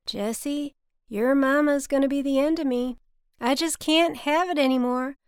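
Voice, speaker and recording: A woman's voice: high-pitched, normal speed, no room sound, studio quality, pitched above the performer's own voice, natural voice. A woman voicing a folksy, exasperated but kind businessman with a Southern US drawl, in an audiobook story.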